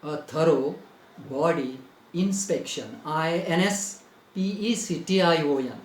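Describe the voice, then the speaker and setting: A man's voice: on the high side, unhurried, roomy sound, a little hiss or hum. A man with an Indian English accent teaching a grammar lesson. He repeats phrases for dictation and spells words out letter by letter.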